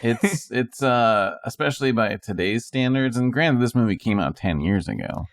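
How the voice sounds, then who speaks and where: A man co-hosting a podcast, chatting casually in an informal, halting way. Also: medium pitch, lively intonation, dry recording, clean studio sound. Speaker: podcast co-host. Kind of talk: casual chat.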